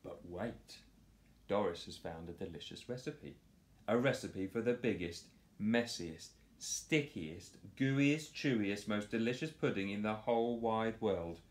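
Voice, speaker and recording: A man in his thirties reading a picture book aloud to children in a playful, expressive storytelling style. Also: fairly low pitch, unhurried. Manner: animated, playful delivery. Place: small living room, on a sofa indoors. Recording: roomy home echo.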